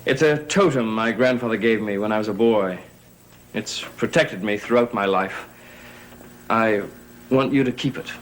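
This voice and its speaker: A man's voice: on the low side, normal speed, a bit bright, slight background noise, natural voice. An actor playing an intense, insistent, earnest man in a gothic soap opera. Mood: tender, earnest. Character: intense, determined man investigating, protectively devoted lover.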